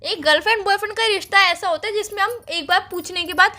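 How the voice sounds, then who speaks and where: A woman's voice: very high-pitched, fast, bright, forceful tone. A young woman chatting casually on a phone call, teasing and playfully insisting. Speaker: girlfriend on a call.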